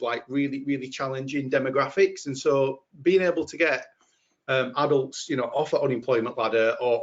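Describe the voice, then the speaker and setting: A man's voice: mid-range voice, highs cut off. A man with a Yorkshire accent answering earnestly on a webinar panel, often repeating words as he thinks. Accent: Yorkshire English.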